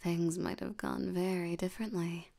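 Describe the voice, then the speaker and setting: A woman voicing a tired, languid sloth demon in an intimate ASMR roleplay, soft and often nearly whispering, with a drowsy deadpan delivery. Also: on the low side, normal speed. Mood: fond, sleepy. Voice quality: soft, creaky, often breathy near-whisper.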